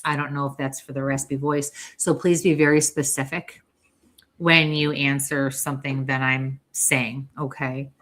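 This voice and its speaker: A woman hosting a live-streamed spirit reading as a psychic medium, chatty and often clearing an irritated throat. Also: low voice, fairly bright tone. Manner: chatty, keeps clearing her throat and coughing.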